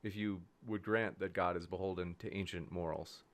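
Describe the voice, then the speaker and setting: A man's voice: deep voice, steady tone, moderate pace. A man moderating a debate, reading viewer questions aloud casually.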